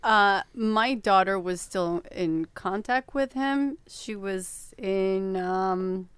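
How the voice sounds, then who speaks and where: A woman from Argentina, a podcast guest, telling her story and sometimes pausing to find words. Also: medium pitch, clean tone, no room sound. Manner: hesitant, searching for words. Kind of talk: casual storytelling.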